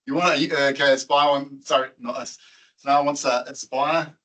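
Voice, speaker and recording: A man hosting a tech meetup in a loose, conversational way, tossing questions at the room and laughing along. His voice is bright and forward, sitting in a middling pitch. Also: fairly even intonation, normal speed. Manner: chatty, laughs often, quizzes the audience. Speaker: meetup presenter. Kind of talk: casual talk to a meetup audience.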